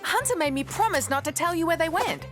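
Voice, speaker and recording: A woman's voice: very high-pitched, animated, fairly quick, over music. A woman voicing a cheerful, playful female kangaroo in a video game cutscene. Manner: chirpy, playful, secretive.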